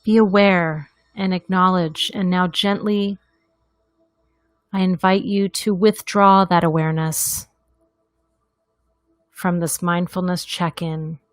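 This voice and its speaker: Very slow-speaking woman guiding meditation. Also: on the low side, fairly even intonation, dry recording, faint music behind. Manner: gentle, deliberate, with long pauses. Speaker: meditation guide. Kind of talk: guided meditation.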